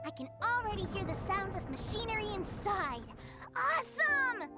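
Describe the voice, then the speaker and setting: A woman playing an excitable, innocent little boy in a video game, eager and trusting in the way he talks. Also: extremely high pitch, moderate pace, loud music behind the voice. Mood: excited. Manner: eager, exclamatory.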